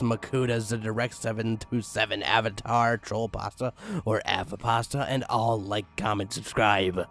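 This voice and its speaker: A man giving a comedic, mock-dramatic creepypasta narration with crude, swooping emphasis. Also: on the low side. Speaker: narrator, online story reader.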